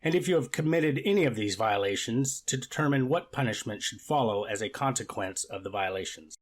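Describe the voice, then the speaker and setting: A man plays a methodical male judge in a readers theater hearing. He has a mid-pitched voice and speaks formally, setting out procedure in measured phrases. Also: lively intonation, close to an everyday voice. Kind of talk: readers theater courtroom reenactment.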